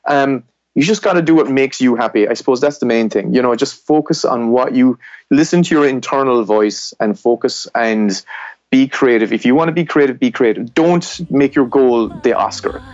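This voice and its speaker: A middle-aged Irish man talks about his work in a podcast interview, looping back and restarting his sentences as he thinks. His voice sits at an ordinary pitch. The recording sounds dry and a bit dull, as if from a modest lo-fi mic. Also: moderate pace, darkish tone, dry recording. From southwest Ireland. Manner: rambling, self-correcting, reflective. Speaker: animated film director.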